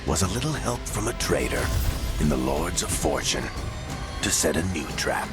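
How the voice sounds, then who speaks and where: An actor narrating a game's villain tale as a grave storyteller, slow, ominous and measured. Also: male voice, big pitch swings, full character voice. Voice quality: very deep. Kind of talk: scripted dramatic narration. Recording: music loud behind the voice. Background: loud music.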